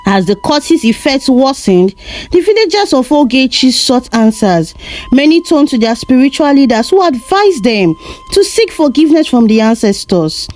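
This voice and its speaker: A woman narrating a scripted folktale as a storyteller, in a measured, solemn manner. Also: sits high, big pitch swings, darkish tone.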